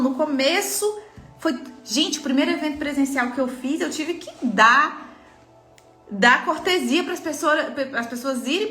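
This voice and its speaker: A woman's voice: high-pitched, a bit bright. A woman with a Brazilian Portuguese accent, a mentor giving an inspirational faith talk in an earnest, emphatic way, speaking straight to her listeners. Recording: echoey room with faint music.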